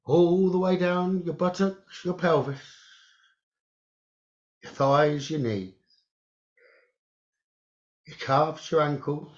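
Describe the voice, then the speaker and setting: A man leading a guided meditation, giving gentle instructions in short phrases with long pauses, on a muffled recording. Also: a little high, fairly even intonation. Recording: muffled, dull lo-fi audio.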